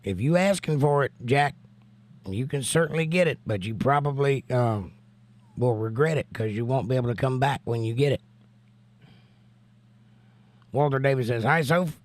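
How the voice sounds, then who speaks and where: An American man hosting a casual livestream and reading out viewer comments, hoarse from laryngitis, folksy and joking with preachy asides. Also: medium pitch, fairly quick, no echo. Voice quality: hoarse, strained. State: laryngitis, losing his voice. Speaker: livestream host.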